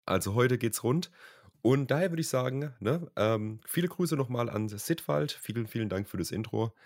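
A man's voice: mid-range voice, lively intonation, normal speed, darkish tone, no room sound, studio quality. Young man, slightly nasal from a cold. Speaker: podcast co-host. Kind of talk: casual podcast chat.

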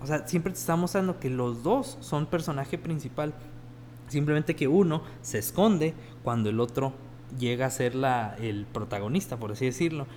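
A man's voice: medium pitch, normal speed, slight background noise. A man in a casual film discussion, reflecting on how the script is built. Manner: reflective, analytical, gives soft backchannel replies.

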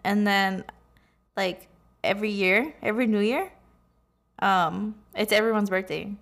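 A woman co-hosting a podcast in casual chat, chatty and teasing, handing out relaxed advice. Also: medium pitch, a little slow, vocal fry. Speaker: podcast co-host.

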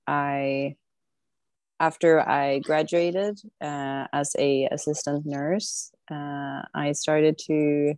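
A woman from southern Sweden, a podcast guest talking casually from home over an online call, thoughtful and unhurried, often pausing to think. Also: low-pitched, flat delivery, darkish tone, dry recording. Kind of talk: casual conversational interview. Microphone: remote online call.

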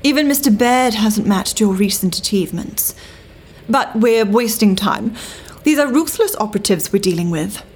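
A woman's voice: medium pitch, lively intonation, normal speed, husky. An actress with a British accent playing a cool, worldly 1930s government agent woman in an audio drama, genteel, dry and arch. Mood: brisk, arch. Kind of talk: full-cast audio drama acting.

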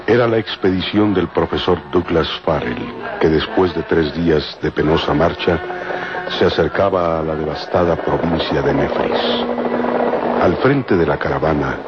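A man narrating a vintage radio serial in a solemn, measured, dramatic storytelling style. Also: extremely low pitch, animated. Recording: muffled old radio recording. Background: loud dramatic music.

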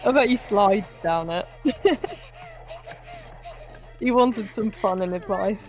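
A woman's voice: mid-range voice, slow pace, a little mellow, soft background music. A woman co-hosting a movie podcast, giving blunt, sweary, casual commentary on a film.

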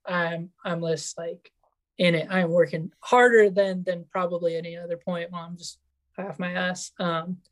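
Twenties male podcast guest from Mexico, raspy and soft-spoken, easygoing talk with lots of fillers. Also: high-pitched, flat delivery, moderate pace. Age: 20s. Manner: easygoing, agreeable, filler-heavy. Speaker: guest author. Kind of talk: casual chat.

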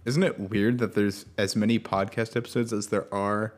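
A young man co-hosting a podcast in relaxed, rambling casual chat with halting restarts. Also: lowish voice. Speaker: podcast co-host.

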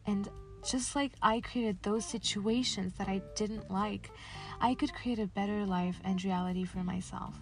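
A woman's voice: medium pitch, steady tone, unhurried, soft background music. Earnest young woman podcaster. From Casablanca, Morocco. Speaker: podcast host. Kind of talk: scripted motivational podcast narration.

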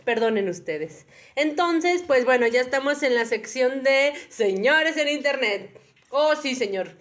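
A woman with a Mexican accent and a slightly hoarse voice, chatting casually and jokingly as a podcast co-host. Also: high voice, lively intonation, a little slow, fairly bright tone.

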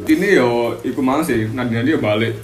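A man's voice: medium pitch, a little fast, soft background music. A young man with a Malang Javanese accent, hosting a casual student podcast in an easygoing, self-deprecating way. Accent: East Javanese, Malang. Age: young adult. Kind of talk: casual chat. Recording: echoey room, faint noise.

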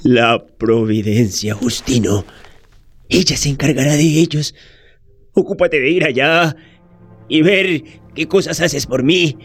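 Frightened elderly condemned man, halting delivery, very unhurried, radio play with prominent music. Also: fairly high pitch. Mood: pleading. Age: older. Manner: hesitant, stammering, slow pleading. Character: frightened, pleading old peasant man, condemned captive. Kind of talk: audio drama acting.

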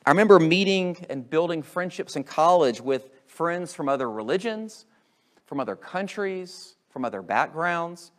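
An American man preaches as a pastor in a fairly high voice with very lively intonation. He is emphatic, leans on rhetorical questions, and moves dramatically between raised and lowered volume as he addresses his congregation. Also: normal speed. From United States. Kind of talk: Easter sermon to a congregation.

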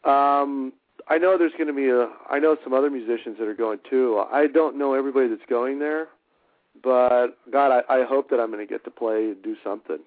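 A man guesting on a radio show, talking casually and haltingly with many fillers. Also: medium pitch, steady tone, no echo. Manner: halting, warm-hearted, many fillers and restarts. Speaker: musician guest. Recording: dry, tinny phone line.

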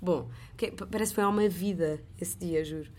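A woman with a European Portuguese accent hosting a podcast, telling stories in a chatty, animated way. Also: lowish voice, brisk. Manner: chatty, animated storytelling with laughs. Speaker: podcast host; voice-over artist. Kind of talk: casual chat.

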